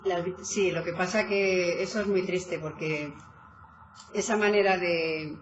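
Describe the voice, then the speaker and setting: A woman author presenting her book in relaxed conversation, talking in an animated way with many fillers and restarts. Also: lowish voice, measured pace, slight background noise. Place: meeting hall. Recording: echoey hall, dull lo-fi sound.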